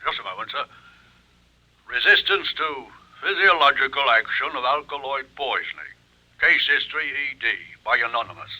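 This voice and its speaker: An actor playing a brisk, deferential British police sergeant in an old-time radio drama, clipped and official. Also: male voice, medium pitch, expressive, pushed and bright, low-quality recording. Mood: businesslike.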